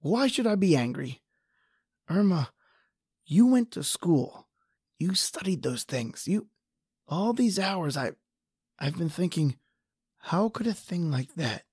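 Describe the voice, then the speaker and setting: Brief: woman cast as a frightened, stammering man in an old-time radio horror play; low and husky. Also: mellow, no room sound, studio quality. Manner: stammering, panicky. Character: frightened injured man hiding in a tunnel.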